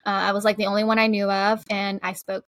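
A woman from Georgia whose first language is Spanish, co-hosting a podcast in quick, lively chat. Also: medium pitch, no echo. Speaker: podcast co-host. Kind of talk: casual podcast conversation.

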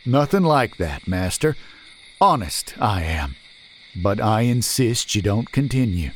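A man's voice: lowish voice, sing-song, a little slow, slight background noise. A man doing a humble rustic stranger. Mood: pleading. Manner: humble, old-fashioned rustic speech.

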